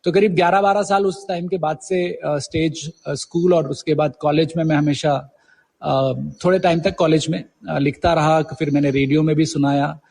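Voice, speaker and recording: A man's voice: fairly high pitch, steady tone, normal speed, vocal fry, a little mellow. A middle-aged man reminiscing as an interview guest, telling stories and mixing in English words. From India. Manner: storytelling, mixes English words into Hindi.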